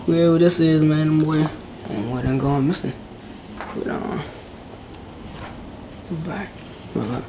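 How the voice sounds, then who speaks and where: A young man vlogging with a handheld camera as he explores a dark abandoned building at night, talking in loose slang and dropping to whispers. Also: a little high, takes it slowly, pauses often. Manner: slangy, excitable, often hushed to a whisper. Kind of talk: casual handheld vlog. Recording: noisy camera audio.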